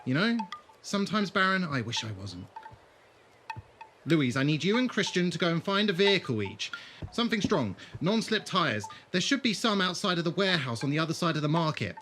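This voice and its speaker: A man's voice: a little high, very animated, brisk, bright, forceful tone, slight background noise. A man playing a defiant, sarcastic alien leader who takes charge in a fan audio drama. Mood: brisk, commanding. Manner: sarcastic, commanding.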